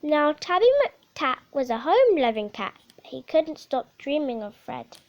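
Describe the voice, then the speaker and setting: High-pitched woman reading a bedtime story. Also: very animated, lo-fi sound. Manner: theatrical, measured storytelling with dramatic emphasis. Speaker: story reader. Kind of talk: expressive bedtime picture-book reading.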